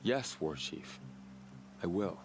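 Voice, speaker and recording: A young warrior of a tribe in a video game. His voice is low and steady, with an earnest, dutiful manner. Faint ambience sits underneath. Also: takes it slowly, background noise. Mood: eager, dutiful. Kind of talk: naturalistic video game acting.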